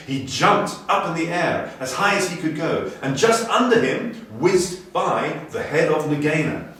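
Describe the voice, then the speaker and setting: A man reading a story dramatically, moving between hushed and emphatic delivery. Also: a little high. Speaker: story reader. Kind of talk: expressive storybook narration. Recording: very echoey room, distant, faint noise.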